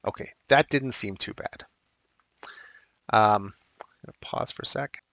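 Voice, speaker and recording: A male math teacher walking through unit conversions step by step, with casual asides and a slightly raspy, dry-throated voice. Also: fairly low pitch, a little slow, a bit bright, dry recording. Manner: explains step by step with asides and fillers. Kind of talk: recorded math lesson walkthrough.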